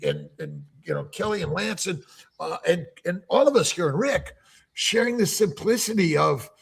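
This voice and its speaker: A man in his seventies hosting a casual panel chat as a doctor, talking in halting runs with many restarts. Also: high-pitched, gravelly, husky. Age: 70s. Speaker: host, doctor.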